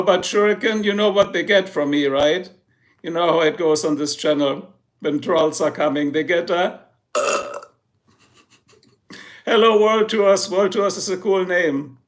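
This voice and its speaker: Male streamer, German accent, high-pitched voice, jokey and rambling, laughs a lot. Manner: joking, rambling, laughs often. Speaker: livestream host. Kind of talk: casual livestream chat with viewers.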